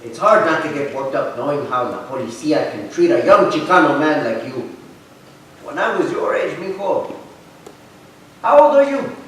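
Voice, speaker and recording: A man's voice: a little high, very animated, moderate pace. A man playing a fond old grandfather, telling stories in a staged reading. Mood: affectionate. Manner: storytelling, sprinkled with Spanish. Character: fond, animated old Mexican immigrant grandfather. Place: arts center stage, live performance. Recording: echoey hall, distant mic, faint noise.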